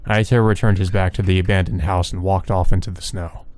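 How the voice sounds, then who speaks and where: A man's voice: low-pitched, level tone, fairly quick, darkish tone. A man reading visual novel story text aloud as narration for a let's play.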